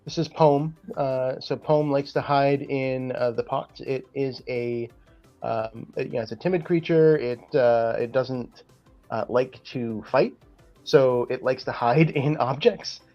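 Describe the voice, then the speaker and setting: A man's voice: medium pitch, measured pace, muffled recording, faint music behind. A man co-hosting a gaming podcast, explaining card stats step by step with lots of fillers. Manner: explains game cards step by step with many fillers. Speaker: podcast co-host and card game creator. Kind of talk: casual gaming podcast chat.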